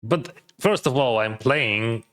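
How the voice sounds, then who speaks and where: A man's voice: medium pitch, slow pace, a bit bright. Chatty man thinking aloud mid-game. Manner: mildly accented non-native English. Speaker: poker streamer. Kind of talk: casual live-stream commentary.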